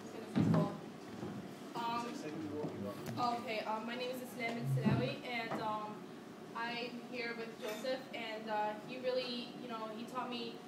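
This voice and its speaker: A woman's voice: on the high side, fairly even intonation, measured pace. Woman, casual speaker, hesitant and informal; echoey, noisy room. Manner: hesitant, casual, with many ums and likes. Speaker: audience speaker. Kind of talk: informal remarks at a community meeting. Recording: echoey room, distant mic, loud ambient noise.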